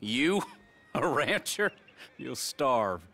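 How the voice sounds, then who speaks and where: A man's voice: medium pitch, very animated, very slow, sharp tone, slight background noise, heavily acted. An actor playing a blunt, prying older ranch foreman, in a video game drama. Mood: prying, mocking. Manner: blunt, dry.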